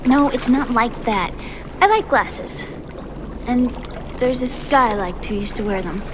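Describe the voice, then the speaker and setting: A woman acting a high, girlish young woman in a cinematic video game. She is vulnerable and stubborn, with a hesitant, plaintive delivery. Clear watery noise sits behind her voice. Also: unhurried, natural voice. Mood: shy.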